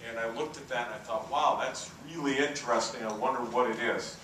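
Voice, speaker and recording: A middle-aged man with a booming, bright voice gives a relaxed keynote, easing his stories along with "uh" fillers. He is picked up from a distance in a very echoey conference hall. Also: brisk, a little hiss or hum. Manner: relaxed, self-deprecating storytelling with frequent "uh" fillers. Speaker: keynote speaker.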